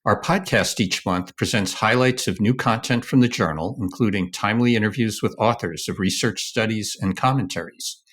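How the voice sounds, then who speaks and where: A man's voice: fairly low pitch, moderate pace, few pauses, a bit bright, breathy edge. A man hosting a podcast, introducing it and putting questions as a journal editor.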